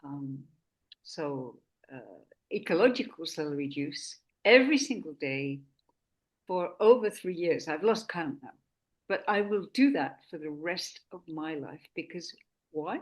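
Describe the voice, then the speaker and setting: A woman hosting a health conversation, from Sweden, talking slowly and deliberately with frequent restarts. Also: deep voice, expressive. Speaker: host. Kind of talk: casual talk.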